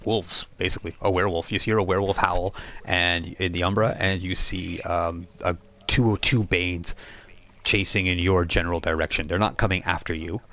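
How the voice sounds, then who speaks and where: A man with a low voice narrates as game master. He builds scenes haltingly and descriptively, improvising as he goes. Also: fairly even intonation, bright, forceful tone. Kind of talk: improvised tabletop role-playing narration.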